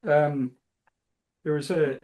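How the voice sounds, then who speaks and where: A man talking as a guest musician in a casual interview, halting often with fillers. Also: a little high, fairly even intonation, darkish tone, low-quality recording. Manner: slow, halting, many fillers.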